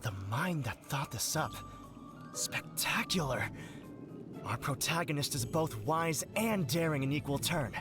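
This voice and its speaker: Brief: melodramatic novelist from a game, florid and swooping, music underneath. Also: male voice, on the high side, animated, measured pace. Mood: grandiose. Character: pompous adult male would-be novelist, theatrical and grandiose. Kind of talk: video game character dialogue.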